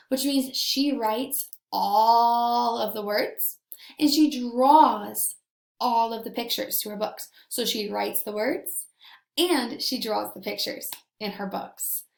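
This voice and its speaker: A woman teacher giving a read-aloud lesson to young children, enunciating deliberately and explaining things simply as she addresses them directly. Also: fairly high pitch, vocal fry.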